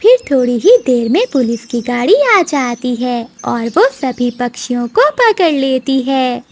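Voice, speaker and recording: A woman's voice: high voice, sing-song, unhurried, a little mellow. A woman narrating a scripted children's cartoon story as its storyteller.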